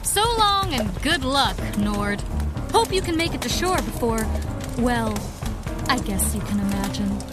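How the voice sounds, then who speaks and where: Cocky young crimefighter woman, high voice, very lively intonation, sardonic, wisecracking, radio drama, music behind. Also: measured pace. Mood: breezy triumphant. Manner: sardonic, breezy taunting. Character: cocky, wisecracking tough young female vigilante. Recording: music under the voice.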